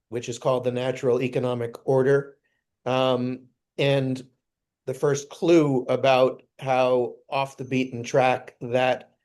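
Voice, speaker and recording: A man from New York on a video call, telling his story in a measured way with soft filler sounds. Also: mid-range voice. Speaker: guest introducing himself. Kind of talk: casual video call conversation.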